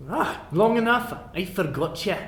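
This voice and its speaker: A man's voice: high-pitched, lively intonation, a little slow, pitched above the performer's own voice. A man playing a friendly, casual dwarven drifter and ladies' man in a tabletop game. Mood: concerned, curious. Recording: roomy echo, clear background noise.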